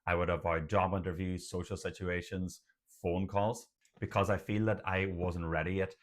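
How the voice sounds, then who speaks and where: A man's voice: sits low, level tone, moderate pace. A young Irish man, a doctor who stutters, talking openly to camera about his experience, with blocks and repeated sounds. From Ireland. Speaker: doctor and channel host. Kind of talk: personal talk to camera.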